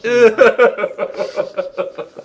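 A man's voice: high voice, fairly even intonation, slight background noise. Giggly campy man co-hosting a podcast. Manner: giggly, campy, drawn-out delivery. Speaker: podcast co-host. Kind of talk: casual banter.

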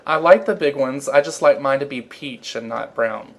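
A man's voice: mid-range voice, gravelly, a bit bright, a little hiss or hum. A man co-hosting a casual podcast, talking in a giggly, campy way and drawing out his words. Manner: giggly, campy, drawn-out delivery. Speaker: podcast co-host. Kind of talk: casual banter.

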